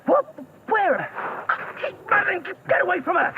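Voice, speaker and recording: An agitated man played by a male actor in a horror audio drama, protective and blunt. His high voice leaps up and down in exasperation, with very loud scene noise behind him. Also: huge pitch swings, measured pace, phone-quality sound, heavily acted. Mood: alarmed. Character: exasperated, protective, sweary man fleeing monsters. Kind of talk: full-cast horror audio drama acting. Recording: very noisy outdoor scene effects.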